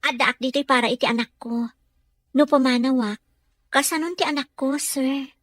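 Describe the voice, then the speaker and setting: A worried, humble young Filipino mother in an audio drama, played by a woman. She has a high voice and speaks meekly and respectfully in a dry recording. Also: steady tone, moderate pace, fairly bright tone, no room sound. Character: anxious, humble young mother working at a mansion. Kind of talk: Ilocano audio drama acting.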